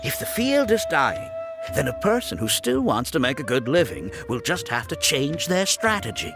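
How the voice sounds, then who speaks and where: An actor playing a smug, scheming architect in a video game, speaking in a condescending, self-justifying way. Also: male voice, mid-range voice, big pitch swings, moderate pace. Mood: smug, persuasive. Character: smug, scheming male architect running a scam academy. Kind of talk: theatrical video game voice acting. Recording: game audio with background music.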